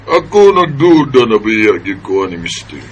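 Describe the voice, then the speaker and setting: A man's voice: lowish voice, sing-song, normal speed, breathy edge. A man playing a blunt, joking middle-aged father in a colloquial Bisaya radio drama. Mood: teasing suspicion. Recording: faint background noise.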